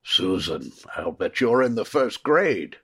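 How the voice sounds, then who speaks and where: Kindly old Santa, played by a man: slow, husky, courteous, earnest, expressive radio-play reading. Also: lowish voice, heavily acted. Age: older. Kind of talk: comedic radio play acting.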